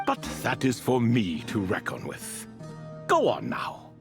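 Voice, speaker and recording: An actor playing a proud, wistful and wryly smug man in a video game, speaking in an unhurried, theatrical way. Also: mid-range voice, huge pitch swings, creaky, exaggerated voice. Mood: resigned, gentle. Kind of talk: dramatic video game voice acting. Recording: music under the voice.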